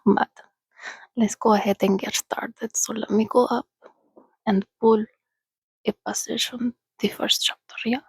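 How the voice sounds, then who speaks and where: A woman voicing a soothing, centuries-old, well-mannered vampire in a soft-spoken ASMR roleplay, chatting gently and often dropping to a whisper. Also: a little high, fairly even intonation, breathy edge, no echo, full character voice. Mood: chatty, welcoming. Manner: unhurried, gentle, chatty, reassuring.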